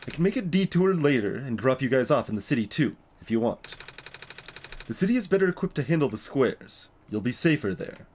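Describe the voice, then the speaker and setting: A man voicing an earnest, apologetic young game character who explains things gravely in a measured, hesitant way. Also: medium pitch, very animated, a little mellow, a little hiss or hum, lightly acted. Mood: reassuring. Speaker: let's play voice-over reader. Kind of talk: acted reading of game dialogue.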